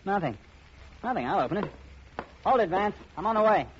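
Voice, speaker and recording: A man's voice: high voice, lively intonation, a little fast. A man playing a pushy, evasive young banker's son in a 1950s radio drama. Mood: defensive, nervous. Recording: muffled, noisy old radio recording.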